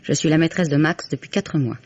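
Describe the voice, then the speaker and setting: Young mistress, composed, candid, formal diction, 1950s radio acting, muffled vintage sound. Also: female voice, sits low, brisk, a little mellow. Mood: composed, confiding. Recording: dull, band-limited vintage radio recording.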